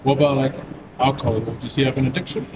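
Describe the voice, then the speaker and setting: Fast-talking man interviewing on a noisy street. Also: mid-range voice, steady tone. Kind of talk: casual interviewing. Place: outdoors in a city street at night. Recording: noisy street with traffic ambience.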